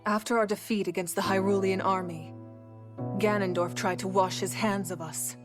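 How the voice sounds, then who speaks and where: A woman's voice: mid-range voice, steady tone, moderate pace, over music, close to an everyday voice. A woman playing a proud, solemn desert warrior in a video game cutscene. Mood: solemn, pleading.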